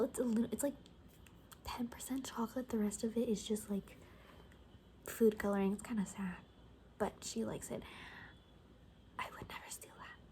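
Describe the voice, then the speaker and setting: A woman playing a gossipy, rambling zombie apocalypse survivor in a soft-spoken, whispery ASMR roleplay, talking hesitantly and conspiratorially. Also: medium pitch, a little hiss or hum, natural voice.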